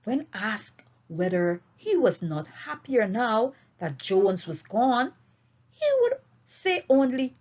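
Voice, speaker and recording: A woman reading a novel aloud as an expressive storyteller, with deliberate, emphatic phrasing, on a dull, lo-fi recording. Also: on the high side. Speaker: audiobook reader.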